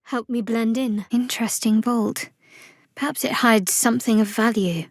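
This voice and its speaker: A woman voicing a guarded, wry young female cleric of a dark goddess in a fantasy video game, with a dry, measured delivery. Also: fairly high pitch, fairly even intonation, dry recording.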